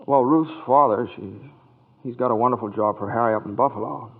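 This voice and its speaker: A man's voice: mid-range voice, brisk, natural voice. An actor playing a frustrated, idealistic young small-town man in 1940s radio drama, with hesitant, stammering, folksy delivery. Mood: subdued, wry. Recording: tinny, band-limited vintage radio broadcast.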